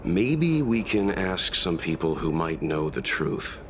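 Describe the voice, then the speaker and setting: A man voicing a calm, sensible, courteous man in a video game, measured and reassuring. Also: deep voice, noisy background, exaggerated voice. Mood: considering. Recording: game music clearly behind the voice.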